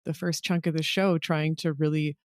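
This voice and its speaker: A woman's voice: low-pitched, level tone, normal speed, a little mellow, dry recording, clean studio sound. A woman chatting casually in an interview as a podcast creator, candid and self-deprecating in a rambling way.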